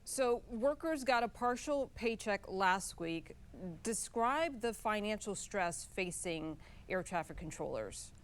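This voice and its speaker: A woman's voice: medium pitch, animated, slow, clean tone. An American woman working as a TV news anchor, reading introductions and putting questions in a broadcast interview style.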